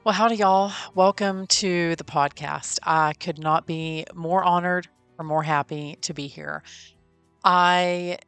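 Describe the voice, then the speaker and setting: A woman's voice: on the low side, fairly even intonation, slow, fairly bright tone, clean tone, no echo, soft background music. A woman in her forties with a Texan accent, hosting a podcast and telling her own story in a friendly, folksy, heartfelt way. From Texas. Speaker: podcast host. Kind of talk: personal storytelling.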